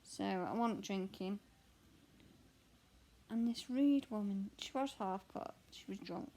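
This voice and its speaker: A young woman with a croaky voice, chatting candidly and rambling while she stitches at home. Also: medium pitch, slow pace, mellow. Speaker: cross stitch vlogger and mother. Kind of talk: casual chat answering a question tag while stitching.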